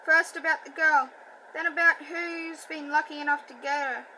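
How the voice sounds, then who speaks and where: A woman reading a novel aloud, halting and self-correcting, with a stutter and a slight lisp. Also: high-pitched, steady tone, pushed and bright, slight background noise, poor audio. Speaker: audiobook reader. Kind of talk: amateur book reading.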